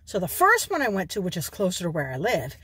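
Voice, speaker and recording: A woman's voice: on the low side, huge pitch swings, quick pace, fairly bright tone, slightly rough, no room sound. A woman in her forties from New York, vlogging casually from a parked car into a phone held at arm's length, chatty and given to digressions.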